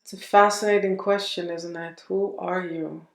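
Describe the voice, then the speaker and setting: A woman leading a guided meditation in a gentle, unhurried manner, leaving long pauses between phrases. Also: on the low side, steady tone, vocal fry, echoey room.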